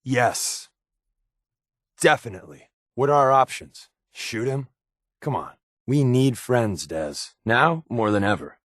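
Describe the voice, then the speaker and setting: Game companion, male: wisecracking secret agent, sardonic and conversational, animated inflection, close dry recording. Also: mid-range voice, slow, dry recording, full character voice. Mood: breezy, joking. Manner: glib, dry sarcasm, conversational. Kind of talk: video game companion dialogue.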